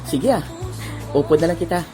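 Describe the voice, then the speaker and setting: A man voicing a shy high school boy who is secretly in love, talking softly with nervous little laughs, in an amateur audio drama. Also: a little fast, close to an everyday voice. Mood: friendly. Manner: soft-spoken, nervous laughs. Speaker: voice actor. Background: background music.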